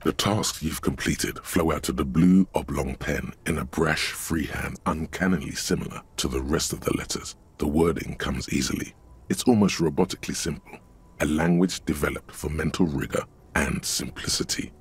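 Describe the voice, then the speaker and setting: An extremely deep, husky male inner voice for a video game. He narrates in a literary, brooding way, deliberate and theatrical, with heavy gravel in the throat. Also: full character voice.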